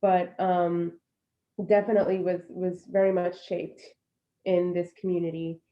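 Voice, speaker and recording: A woman's voice: lowish voice, little pitch movement, unhurried, muffled recording. A woman in her thirties, born in Puerto Rico and raised in Florida, speaking earnestly and reflectively as a panelist over a video call. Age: 30s. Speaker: panelist, alumna. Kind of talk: casual panel talk.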